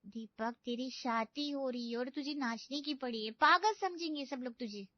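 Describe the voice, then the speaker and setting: A woman playing a young, bossy elder sister in an animated comedy story, scolding and teasing with sarcasm. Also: high-pitched, moderate pace, no room sound, lightly acted. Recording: dull, lo-fi studio voice-over.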